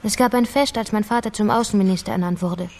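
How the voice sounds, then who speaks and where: An actress playing a determined, guarded politician's daughter in a radio crime drama, direct and reserved. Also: female voice, medium pitch, quick pace, a little mellow, slight background noise, close to an everyday voice. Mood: recalling.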